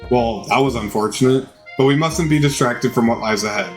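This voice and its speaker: A man's voice: medium pitch, a little fast, soft background music, close to an everyday voice. Man voicing a pompous cartoon dog professor. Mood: announcing. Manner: formal, wordy, announcing. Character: pompous professor dog, male, small and cute.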